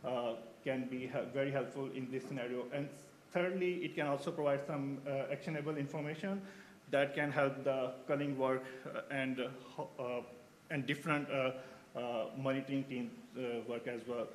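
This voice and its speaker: A man's voice: mid-range voice, fairly even intonation, moderate pace, slight background noise. A man in his thirties from Pakistan, a researcher giving a conference talk, with frequent fillers and restarts. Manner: hesitant, many fillers and restarts. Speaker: researcher presenting at a symposium.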